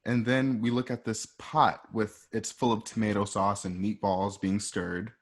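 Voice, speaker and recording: A man's voice: fairly low pitch, little pitch movement, unhurried. A man narrating script scenes informally, in a chatty storytelling manner, as if walking listeners through each shot. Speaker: narrator reading stage directions. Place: at home.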